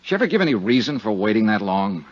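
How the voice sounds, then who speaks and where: An actor playing a wry, probing insurance investigator in a 1950s radio detective drama, with dry, skeptical, clipped delivery. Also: male voice, on the low side, big pitch swings, fast talker. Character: wry, probing freelance male insurance investigator narrating his case. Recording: dull 1950s radio recording, music behind.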